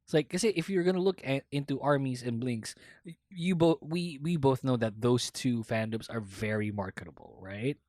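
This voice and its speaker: A woman's voice: very deep voice, moderate pace, a little mellow, no echo, clean studio sound. A woman in her late twenties with a Filipino accent, hosting a casual podcast chat and rambling with many fillers and restarts. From Philippines. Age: 20s. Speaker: podcast host.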